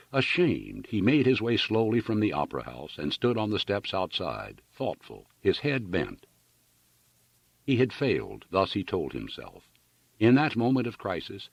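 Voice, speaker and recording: Deep, husky man reading dramatically. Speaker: audiobook reader. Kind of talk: dramatic literary narration. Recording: dull lo-fi, roomy sound.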